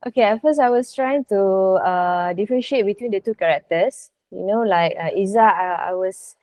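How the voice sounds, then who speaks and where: A woman, speaking casually and haltingly as a voice actor being interviewed. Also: medium pitch, a little mellow, dry recording. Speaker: voice actor, podcast guest.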